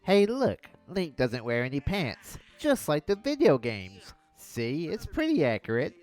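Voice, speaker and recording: A young man reviewing an old cartoon in a sarcastic, mocking voice-over with deadpan jabs. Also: a little high, measured pace, faint music behind.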